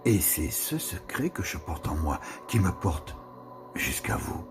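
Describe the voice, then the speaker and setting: A man giving a slow, musing reading of a literary text, with pauses and whispered asides. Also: low voice, very animated. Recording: reading with music behind. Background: music.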